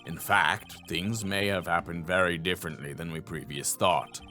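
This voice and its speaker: A man voicing a stern, formal old trial judge from a video game, slow-witted and ponderous. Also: low voice, fairly even intonation, bright, forceful tone, soft background music, voice pushed down. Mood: solemn.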